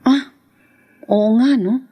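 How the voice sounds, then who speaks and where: An actress playing a gentle, anxious young wife and mother in a radio drama, pleading and hesitant. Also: on the high side, slow, slightly rough.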